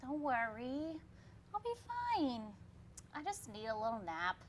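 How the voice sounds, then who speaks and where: An actress playing a weary, evasive young housewife in a theatrical comedy reading, hesitant and flustered. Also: female voice, on the high side, sing-song, a little slow, slight background noise. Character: weary, evasive young 1960s housewife, sweet but frazzled.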